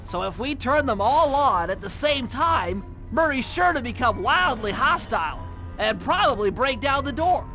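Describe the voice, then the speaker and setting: Nasal, very high-pitched nerdy turtle. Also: male voice, very animated, moderate pace, pushed and bright, heavily acted. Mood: focused briefing. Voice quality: nasal, thin. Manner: precise, wordy, anxious. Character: nerdy young turtle tech genius, meticulous and cautious planner. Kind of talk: video game cartoon voice acting. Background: music.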